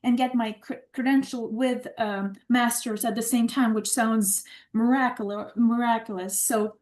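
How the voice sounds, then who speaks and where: A woman's voice: fairly high pitch, steady tone, unhurried, a little mellow. A woman with a Ukrainian accent, a teacher in training, talking eagerly in a rambling way with many restarts during a casual online session. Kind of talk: casual webinar chat.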